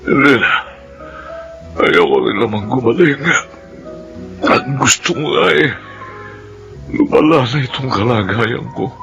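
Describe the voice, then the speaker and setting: A man's voice: medium pitch, vocal fry, fairly bright tone, muffled recording. A man playing a sick, despairing middle-aged farmer with a weak, laboured Filipino voice in a radio drama. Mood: despairing, bitter. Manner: slow, laboured, defeated. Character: sick, despairing older farmer longing to die. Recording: music under the voice.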